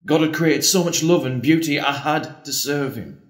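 An actor playing an earnest, pleading priest torn by an old love in an audio drama. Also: male voice, a little high, moderate pace, lightly acted. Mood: tender, earnest. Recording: roomy, echoing space.

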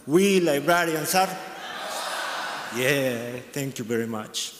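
A man's voice: mid-range voice, expressive, takes it slowly. A young man speaking at a podium in a large conference hall, rousing the audience and then chatting about his library. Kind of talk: short conference story. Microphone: podium microphone. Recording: echoey hall, faint noise.

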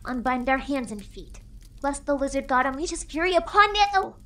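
Man acting young girl adventurer, high, singsong, spirited, storybook. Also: big pitch swings, normal speed, pushed and bright, full character voice. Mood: indignant. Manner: chipper, singsong.

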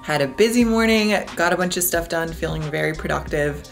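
A woman's voice: fairly quick, gravelly, faint music behind. A woman in her thirties, chatting upbeat to the camera held at arm's length as she vlogs her day. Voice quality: deep morning voice. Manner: chatty, upbeat, addresses viewers directly. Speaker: vlogger. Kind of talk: casual vlog narration. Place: car, office, outdoors. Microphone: handheld camera at arm's length.

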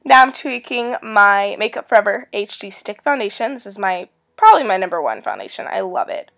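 A young woman in her twenties with a raspy voice from a cold, chatting upbeat through a makeup tutorial as a beauty vlogger. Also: mid-range voice, big pitch swings, brisk, a bit bright.

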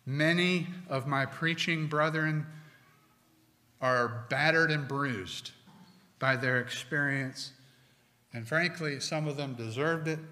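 A middle-aged man preaching a sermon from a pulpit, slow and deliberate with emphatic pauses. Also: mid-range voice, sharp tone. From United States. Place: church sanctuary with stone wall, at a pulpit. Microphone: pulpit microphone. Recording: long hall reverb.

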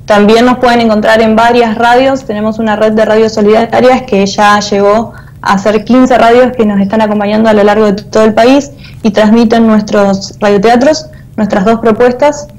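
A woman's voice: a little high, steady tone, moderate pace, a little mellow, low-quality recording. A woman in her thirties with an Argentine accent, giving conversational interview answers as a guest over a video call. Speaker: guest, theatre artist and teacher. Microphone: earphone mic on a video call.

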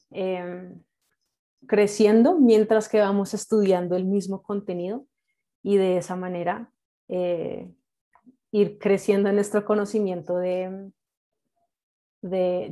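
A young woman with a Colombian accent, a native English speaker, teaches an online class informally, encouraging her students in a halting way with frequent check-in questions. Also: fairly low pitch, warm tone. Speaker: medical English teacher and nurse. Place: indoors, on a video call. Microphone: video call microphone.

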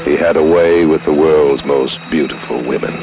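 A man's voice: extremely low pitch, fairly even intonation, moderate pace. A man announcing a 1970s movie trailer in a dramatic, portentous style. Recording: dull old trailer soundtrack, loud music.

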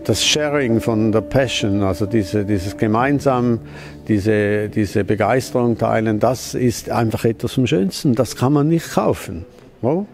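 A man's voice: lowish voice, a little slow, darkish tone. An older man, answering an interview in a museum hall, searching for words with many restarts. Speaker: museum founder.

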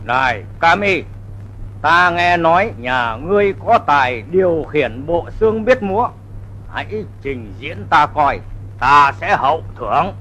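A stern, commanding male figure of a folktale royal court in an old cartoon. He declaims imperiously in a high, sharp voice that rises and falls widely. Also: lively intonation, measured pace, heavily acted, poor audio. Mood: lordly. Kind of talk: old animated folktale film dub.

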